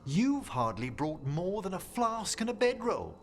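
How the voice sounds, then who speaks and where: An actor playing a fastidious, courtly, bookish gentleman in a video game, formal and gently pompous. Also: high-pitched, big pitch swings, faint music behind, exaggerated voice. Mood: defensive. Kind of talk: video game character dialogue.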